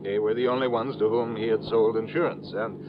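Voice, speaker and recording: A man's voice: lowish voice, steady tone, fairly quick, close to an everyday voice. An actor playing a prim, snooty, earnest male insurance agent in a mid-century radio drama, formal and hesitant in his speech. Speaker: supporting actor in a radio serial. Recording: muffled, noisy old radio broadcast.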